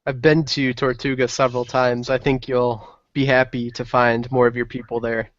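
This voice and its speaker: A man's voice: medium pitch, flat delivery, normal speed, dry recording. Sly guy talking in character, super dry dull mic. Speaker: player. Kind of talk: tabletop roleplay, in character.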